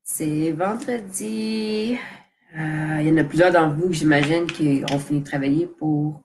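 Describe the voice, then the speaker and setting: A woman's voice: low voice, measured pace, vocal fry, darkish tone, a little hiss or hum. A young woman with a Québécois French accent who has a cold, chatting casually on a live stream as a social media coach, with lots of fillers, restarts and English words mixed in.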